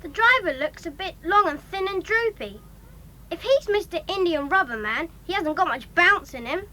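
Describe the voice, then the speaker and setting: A woman's voice: extremely high pitch, animated, normal speed, sharp tone, exaggerated voice. A woman voicing an eager, chatty schoolboy in a children's audio drama. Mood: curious.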